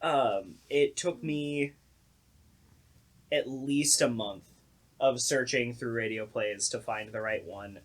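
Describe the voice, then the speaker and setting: Guy talking shop as a radio play director, mid pitch, kinda sardonic, super informal podcast chat. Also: takes it slowly, pauses often. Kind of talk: casual group podcast chat.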